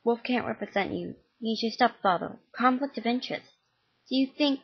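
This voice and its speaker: A woman's voice: fairly high pitch, moderate pace, slightly rough, voice pushed up. A woman voicing an agitated mother who scolds her teenage son, harsh and exasperated, on a dull lo-fi recording. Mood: tense.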